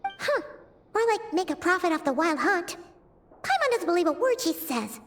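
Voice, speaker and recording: A woman voicing a tiny, childlike fairy companion in a video game, squeaky, cheeky and expressive. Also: moderate pace. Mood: skeptical. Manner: exaggerated, expressive, third-person self-reference. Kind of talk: video game character dubbing.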